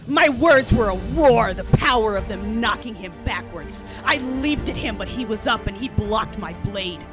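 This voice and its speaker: A woman's voice: a little high, animated, normal speed, music in the background, lightly acted. A woman playing an anguished, rage-consumed Jedi warrior, narrating an audio drama in the first person with intense emotion. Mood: furious. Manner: intense, emotive, shifting from hushed to roaring.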